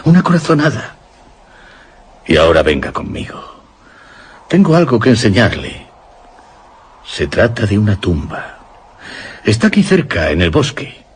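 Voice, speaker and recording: A man's voice: lowish voice, wildly swooping pitch, a little slow, long pauses, a little hiss or hum. An actor playing a grave, suspicious old village fisherman from Spain in a radio suspense drama, speaking with ominous emphasis and a Spanish accent from Spain. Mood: ominous, urgent. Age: older.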